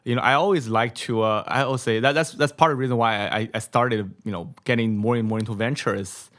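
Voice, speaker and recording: A man's voice: medium pitch, steady tone, a little fast, fairly bright tone. A man in his thirties from China with a Mandarin accent, a guest on a podcast, talking casually and ending many phrases with "right?", close to a studio mic. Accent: Mandarin Chinese. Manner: tags sentences with "right?", many repeats. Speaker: venture capital guest. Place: small studio room, brick wall. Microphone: studio mic on boom, headphones.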